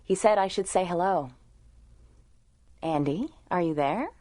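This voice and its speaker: An actress playing a sweet, caring young girlfriend in a 1930s radio drama, chatting in a folksy, gently teasing way. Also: on the low side, expressive, unhurried, no room sound. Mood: teasing, chatty.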